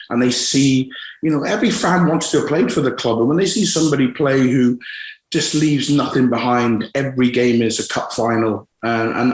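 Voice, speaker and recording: A man's voice: medium pitch, roomy sound, rough recording. Scottish-born man with a West Country accent. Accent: West Country English. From Scotland. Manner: frank, reflective, many restarts and fillers. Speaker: football manager guest. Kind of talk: candid interview.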